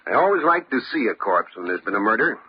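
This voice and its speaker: An actor playing a tough, shrewd homicide lieutenant in an old-time radio crime drama, with a brisk, assured manner. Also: male voice, lowish voice, very animated. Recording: dull, lo-fi old radio recording, faint noise.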